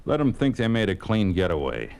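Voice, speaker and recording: A man's voice: lowish voice, wildly swooping pitch, fairly quick, creaky, exaggerated voice. A man playing a curt, sly, menacing communist officer in a 1950s radio drama. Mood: smug, menacing. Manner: curt, authoritative, sardonic. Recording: faintly noisy old radio recording.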